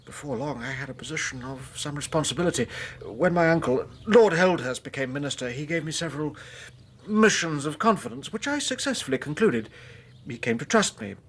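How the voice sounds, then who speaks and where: An actor playing an earnest, anguished young British gentleman of the Foreign Office, an invalid, in a radio drama. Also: fairly high pitch, animated, fairly quick, slightly rough. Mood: earnest, recollecting. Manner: earnest, troubled.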